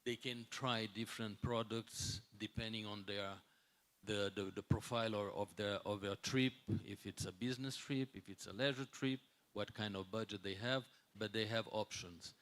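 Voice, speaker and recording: Man in his sixties, non-native English speaker, somewhat low voice, halting delivery, unscripted business conference talk. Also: steady tone, fairly bright tone. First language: not English. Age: 60s. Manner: halting, searching for words, with repeated words. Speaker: hotel executive speaking on a conference panel.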